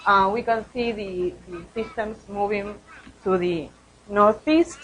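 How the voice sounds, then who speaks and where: A woman's voice: mid-range voice, fairly even intonation, slow, fairly bright tone, slight background noise, poor audio. A woman researcher presenting study results at a conference, speaking hesitantly and often repeating words.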